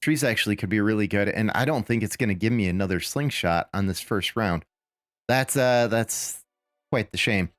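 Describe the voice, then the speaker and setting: Raspy man streaming a game. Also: fairly low pitch, normal speed, no room sound, soft background music. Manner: rambling, thinking aloud with fillers and restarts. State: raspy, recovering from lost voice. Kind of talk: casual live gameplay commentary.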